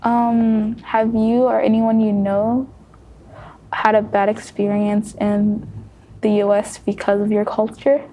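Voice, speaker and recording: Slow, soft-spoken young woman. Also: medium pitch, flat delivery, slight background noise, low-quality recording. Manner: soft, hesitant, many ums. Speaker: student moderator asking questions. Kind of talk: casual panel discussion.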